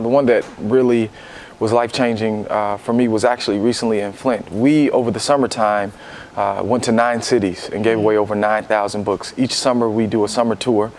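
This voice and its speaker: A man's voice: on the low side, normal speed, a little hiss or hum. An American man giving earnest, reflective interview answers, building his points step by step as a guest. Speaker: guest, ministry founder and book-drive leader.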